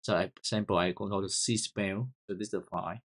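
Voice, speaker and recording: A man with a Vietnamese accent, whose first language is Vietnamese, hosts a screen-recorded coding tutorial, talking haltingly and repeating words while he types commands. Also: low-pitched, steady tone. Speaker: software tutorial host.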